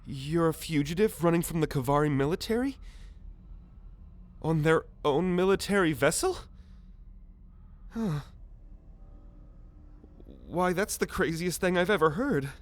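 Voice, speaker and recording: A man playing a jittery, earnest young alien captive, stammering and excitable, in an ASMR roleplay audio drama. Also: a little high, unhurried, pauses often. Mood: bewildered, relieved.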